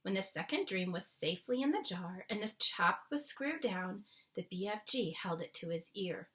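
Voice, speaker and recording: A woman narrates a children's story aloud in a bright, clearly projected voice. Her pitch rises and falls in lively swings, and she phrases the story dramatically. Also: normal speed. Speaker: storybook reader.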